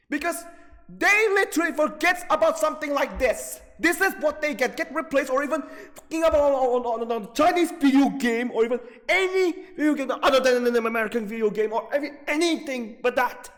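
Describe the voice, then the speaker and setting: A man delivering an angry, off-the-cuff gaming rant, agitated and profane, repeating himself haltingly with drawn-out emphasis. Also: extremely high pitch, fairly bright tone. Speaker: gaming video creator. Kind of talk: angry off-the-cuff rant about video games. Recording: long reverberant hall-like echo.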